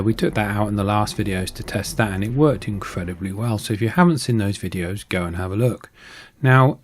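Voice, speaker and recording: A man with a fairly low voice narrates a hobby tutorial as a voice-over. He speaks casually and conversationally, pausing often and filling gaps with ums as he explains his process. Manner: hesitant, conversational, with frequent pauses and ums. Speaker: video creator and hobbyist prop maker. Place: voice-over recording.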